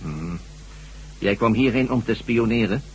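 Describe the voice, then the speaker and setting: A man plays a menacing radio-play villain who interrogates with sardonic condescension. His voice is fairly low and deliberate, on a noisy recording with a hum. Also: natural voice. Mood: sardonic questioning. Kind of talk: Dutch radio drama acting.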